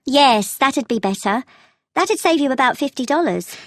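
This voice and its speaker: A woman playing a helpful, matter-of-fact travel agent in a scripted language-test dialogue, explaining things clearly. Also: high-pitched, lively intonation, moderate pace, no echo, clean studio sound. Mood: helpful, thoughtful.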